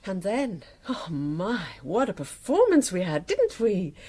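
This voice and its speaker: A woman's voice: mid-range voice, huge pitch swings, a little slow, dark tone. A woman acting in a naturalistic radio drama as a betrayed wife, sceptical, pointed and bitterly sarcastic. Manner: sardonic, accusing, pointed.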